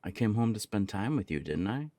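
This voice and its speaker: A man's voice: fairly low pitch, very animated, fairly quick, dark tone, dry recording. A young man playing an easygoing, affectionate punk roommate in an intimate ASMR roleplay, chatting casually and teasingly. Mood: fond. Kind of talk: intimate ASMR roleplay, one side of a conversation.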